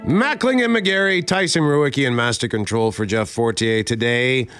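A man's voice: medium pitch, normal speed, fairly bright tone, soft background music. A Canadian man co-hosting a radio show in a casual, chatty style. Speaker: radio co-host.

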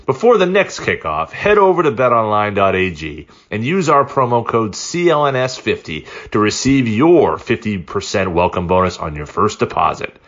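A man hosting a podcast, reading a sponsor ad in an upbeat, conversational sales pitch. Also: sing-song, normal speed, few pauses, a bit bright, lo-fi sound. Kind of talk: conversational scripted ad read.

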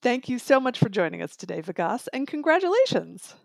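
A woman's voice: fairly high pitch, big pitch swings, a little fast, vocal fry, no room sound. A woman hosting an interview show about audiobooks, presenting and asking questions. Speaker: interview host.

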